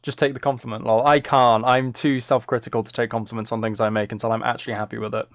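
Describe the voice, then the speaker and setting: A man's voice: mid-range voice, flat delivery, fast talker, no echo, studio quality. A man chatting casually as he works on music on a live stream, musing aloud in a self-deprecating way. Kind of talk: casual chat while working.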